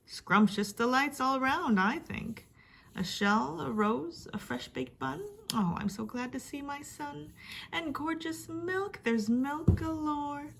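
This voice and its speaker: A welcoming storybook mother, played by a woman reader speaks slowly in a high voice. She is kindly and delighted, gushing over her words. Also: gravelly, slight background noise, exaggerated voice.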